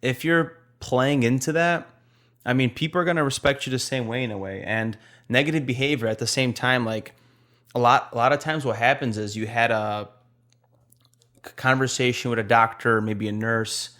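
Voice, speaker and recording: A man with a Polish accent talks shop on a nursing podcast, easygoing and frank. His voice sits at a middle pitch, and he drags out key phrases for emphasis. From Poland. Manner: relaxed, blunt, slows down to stress points. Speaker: nurse podcast co-host. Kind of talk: casual podcast chat.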